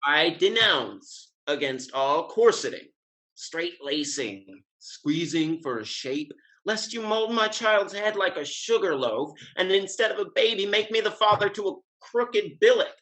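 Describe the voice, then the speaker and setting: A man's voice: high-pitched, animated, unhurried, bright, forceful tone, heavily acted, rough recording. A man playing a witty playboy suitor in a Restoration comedy, speaking in a wry, mock-formal, declamatory way. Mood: mock-formal, declaiming. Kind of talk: Restoration comedy stage dialogue.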